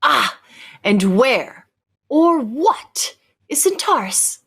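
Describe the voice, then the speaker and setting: A woman playing a knowing, imperious rebel captor who speaks deliberately and probingly in a radio sci-fi drama. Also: high voice, big pitch swings, gravelly, a bit bright, husky, heavily acted. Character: commanding, knowing rebel captor challenging orthodox belief. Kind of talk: cold-read old-time radio sci-fi drama.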